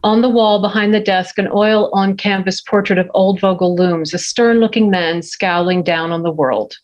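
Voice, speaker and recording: A woman's voice: mid-range voice, fairly even intonation, moderate pace, a little mellow, dull audio. A woman reading scene headings and action lines as narrator at a script table read. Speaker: stage direction narrator.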